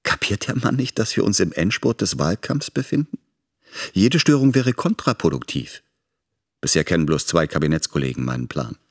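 Low-voiced, cold scheming politician. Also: male voice. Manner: calculating, menacing. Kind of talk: dramatic monologue in a German audio play.

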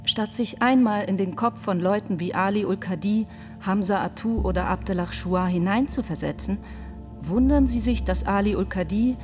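A woman acting in a radio play as a sharp, indignant defence lawyer pleading in court, with a pointed, rhetorical manner. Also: mid-range voice, moderate pace, natural voice. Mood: biting, indignant. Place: studio. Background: music.

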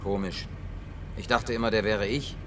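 A man playing a gruff, awkward survivor. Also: lowish voice, steady tone, normal speed, background noise, lightly acted. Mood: bewildered. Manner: gruff, muttering curses. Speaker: Jim, survivor on foot. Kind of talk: German film dub.

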